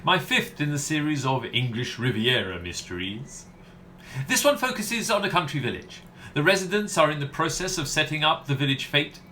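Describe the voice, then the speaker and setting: A man's voice: fairly high pitch, very animated, normal speed, sharp tone, slight background noise. A man playing a pompous, self-regarding mystery novelist in a theatrical, condescending radio comedy manner. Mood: smugly gracious.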